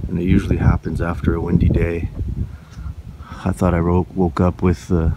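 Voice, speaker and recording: A man with a stuffy nose and sore throat, talking in a rambling, frank way in a casual vlog from a parked van. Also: low-pitched. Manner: rambling, frank, stop-start. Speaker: van life vlogger. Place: parked van outdoors, windy lot. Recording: outdoor wind and traffic noise.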